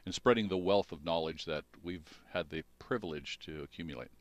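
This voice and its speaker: A man in his sixties, a dentist guest on a radio show, explaining his work in a measured way. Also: low-pitched, dry recording. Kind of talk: radio interview answers. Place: small radio studio. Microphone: studio mic.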